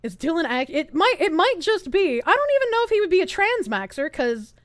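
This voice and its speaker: Woman streamer, high voice, swooping animated delivery, sarcastic reaction talk, dry close mic. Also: a little fast, dry recording. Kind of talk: casual reaction commentary.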